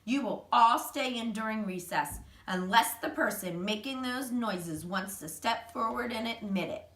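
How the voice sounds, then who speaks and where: A woman's voice: on the high side, a little slow, a bit bright. A woman voicing a strict, snappish female schoolteacher from a storybook, firm and commanding. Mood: stern, snappish. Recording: roomy echo, distant microphone.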